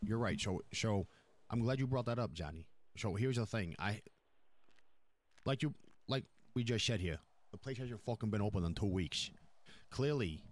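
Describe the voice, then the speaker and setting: A man's voice: on the low side, animated, normal speed, pauses often, no room sound. A man playing a stern, blunt, profane mafia boss in an improvised online game roleplay. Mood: firm, businesslike. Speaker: streamer role-playing a crime family boss.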